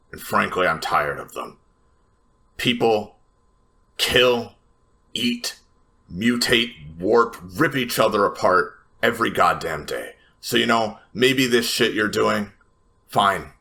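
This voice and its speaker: A man's voice: sits low, gravelly, pushed and bright, full character voice. A man voicing a fed-up, hard-bitten female fighter in a tabletop roleplay, blunt and emphatic. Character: fed-up, hard-bitten, foul-mouthed female Reaper with scythes. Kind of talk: tabletop roleplay character acting.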